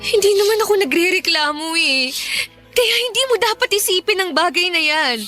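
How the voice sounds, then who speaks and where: A woman's voice: very high voice, expressive, moderate pace, bright, forceful tone, faint music behind, exaggerated voice. A woman playing a patient but easily hurt wife in a Filipino radio drama, earnest and pleading. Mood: earnest, reassuring. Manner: earnest, pleading, emotional delivery.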